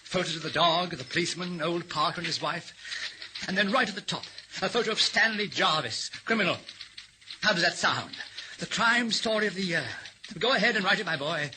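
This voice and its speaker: An actor in a radio drama playing a middle-aged newspaperman, guilt-ridden and halting, cracking under pressure. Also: high voice, natural voice. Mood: bitter, accusing. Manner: halting, repetitive, overwrought. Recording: hissy old radio broadcast recording.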